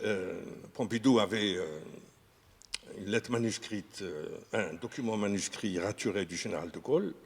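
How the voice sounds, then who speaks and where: A man speaking as a historian in an unscripted discussion, talking haltingly with many fillers and restarts. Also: fairly low pitch, creaky, slightly rough. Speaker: historian guest. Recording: reverberant room.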